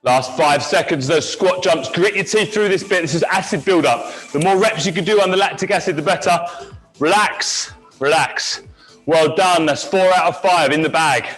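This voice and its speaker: A man working as a fitness instructor, leading a live high-intensity class with encouraging talk, countdowns and short barked cues. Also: normal speed, fairly bright tone. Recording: music playing behind the voice.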